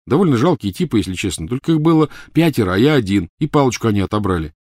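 A man's voice: medium pitch, big pitch swings, very fast, a little mellow, no room sound, clean studio sound, pitched above the performer's own voice. A man voicing a defensive, talkative teenage boy wizard pleading his case in an audiobook reading.

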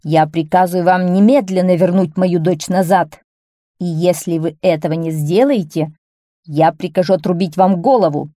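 A woman voicing an anxious, commanding king and father in a storybook, urgent, imperious and quick to anger. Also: fairly low pitch, warm tone, no room sound, clean studio sound, lightly acted. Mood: angry.

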